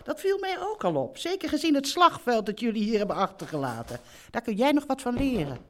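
An actress playing a bossy, warm-hearted middle-aged mother who runs a holiday park, in a chatty, theatrical live audio drama style. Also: a little high, very animated, a little fast, slight background noise. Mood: smug. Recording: live theatre recording with music.

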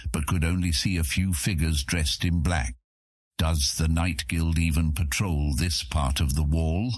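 A man narrating a scripted fantasy audiobook as a measured, expressive storyteller. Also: deep voice, breathy edge, no room sound, studio quality.

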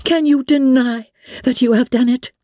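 A woman voicing an indignant, spirited young gentlewoman from a novel, speaking in formal, cutting, emphatic phrases. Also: high voice, steady tone, normal speed, no room sound, clean studio sound, pitched above the performer's own voice, lightly acted.

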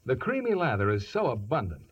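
A man works as a 1950s radio announcer, reading scripted ad patter. His mid-range voice is husky with very lively intonation, on a dull lo-fi recording. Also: mid-range voice, fairly quick.

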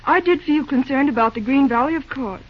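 Woman acting high-voiced prim secretary, old radio. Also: fairly quick, natural voice. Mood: earnest, reproving. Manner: proper, hesitant, earnest. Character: prim, earnest young female secretary, principled and guarded. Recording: muffled old radio drama recording.

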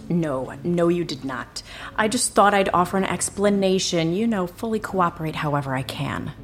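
A woman playing a cocky, sardonic, wisecracking lowlife in an audio drama. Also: expressive, moderate pace, faint music behind, close to an everyday voice. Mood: glib, placating. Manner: glib, sardonic. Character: cocky, wisecracking female lowlife smooth-talking the police.

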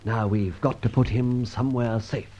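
A man acting a dashing, wry gentleman adventurer in an old radio drama, brisk and commanding. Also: medium pitch, steady tone, natural voice. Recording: dull vintage radio recording, faint music.

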